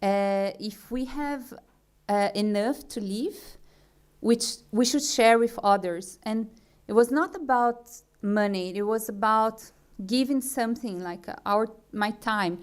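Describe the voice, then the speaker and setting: Slow-speaking Brazilian woman giving a talk. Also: on the high side. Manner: hesitant, many fillers and restarts. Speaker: conference speaker. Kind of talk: forum talk.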